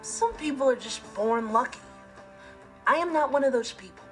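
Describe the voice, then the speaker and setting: A man's voice: very high-pitched, animated, normal speed, music in the background. A young man with an American accent playing an earnest, rule-following, easily exasperated teenage brother in a sitcom, speaking emphatically to camera. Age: teenage to young adult. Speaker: sitcom lead. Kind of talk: scripted sitcom monologue to camera.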